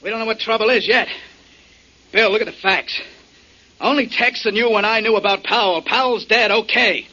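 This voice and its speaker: A man's voice: sits high, moderate pace, bright, forceful tone. An actor in a 1940s radio drama playing a hot-headed, suspicious American man, insistent and slangy, on a muffled old recording. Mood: heated, accusing.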